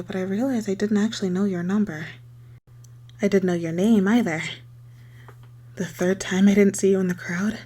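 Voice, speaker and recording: Casting: actress as young female circus performer, lovesick obsessive captor for ASMR drama. Medium-pitched, husky-breathy, halting and intimate, slips into whispers. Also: darkish tone, natural voice. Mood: wistful, confessional. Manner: intimate, halting, stammering, swinging from sweet to desperate. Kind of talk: scripted ASMR roleplay monologue.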